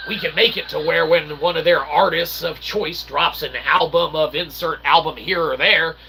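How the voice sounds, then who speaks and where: A man with a creaky voice delivering a rambling philosophical monologue in verbose, mock-formal wording, constantly tagging phrases with "okay" and "all right". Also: fairly high pitch, fairly even intonation, normal speed, sharp tone, poor audio. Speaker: independent researcher and channel host.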